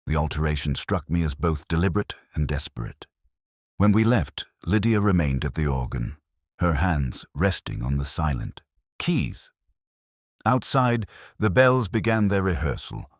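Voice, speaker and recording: A man reading scripted first-person detective fiction as narrator, in a measured, reflective, literary manner. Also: very deep voice, no echo, clean studio sound.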